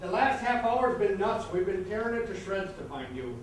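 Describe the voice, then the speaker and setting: A man's voice: high-pitched, big pitch swings, normal speed. A man playing a brash theatrical sidekick in stage comedy style, mocking and sardonic. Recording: very echoey stage, distant mic, hiss.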